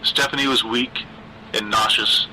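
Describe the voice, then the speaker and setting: Male horror audiobook reader. Slightly low, very bright, tense tone, deliberate dramatic pacing, faint background noise. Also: steady tone, poor audio. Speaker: story narrator, reader. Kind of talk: scripted narration of a dark horror story.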